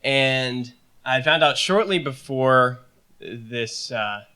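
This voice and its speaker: A man's voice: medium pitch, slow, sharp tone, clean tone. A young man, a student on a panel, telling a story in an earnest, hesitant way.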